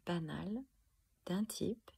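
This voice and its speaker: Casting: woman for spoken-word protest poem, slow and emphatic, husky with creaky edges. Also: lowish voice, expressive, mellow. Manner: deliberate, emphatic, dramatic phrasing with pauses. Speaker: poet performing own protest poem. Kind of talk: spoken-word poetry recital.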